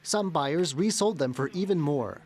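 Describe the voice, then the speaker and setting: A man voicing a scripted news report in a lively, expressive way. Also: fairly high pitch, moderate pace, slight background noise.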